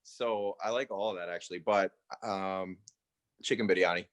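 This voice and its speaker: An American man with a lowish voice speaks as a panelist. His style is casual and chatty, addressed to the other people on the panel. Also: steady tone, normal speed. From United States, New York State. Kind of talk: casual chat.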